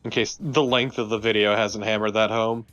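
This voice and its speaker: A man's voice: fairly low pitch, steady tone, normal speed, a bit bright, muffled recording. Dryly sarcastic man commentating a game. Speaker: let's play co-host. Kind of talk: casual gameplay commentary. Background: game music.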